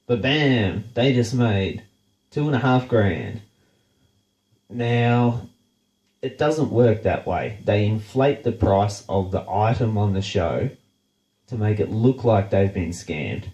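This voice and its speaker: A man's voice: lowish voice, animated, slow, a little mellow, roomy sound, muffled recording. An Australian man with a blocked, nasal voice, hosting a blunt, sarcastic rant full of swearing. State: congested, nasal from a blocked nose. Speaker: show host. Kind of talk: casual profane rant.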